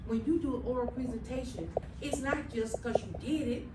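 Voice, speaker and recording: A woman's voice: a little high, brisk, noisy background. Emphatic woman teaching a classroom. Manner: emphatic, checks in with students often. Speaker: middle school teacher. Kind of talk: classroom instruction. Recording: echoey classroom, mic far off.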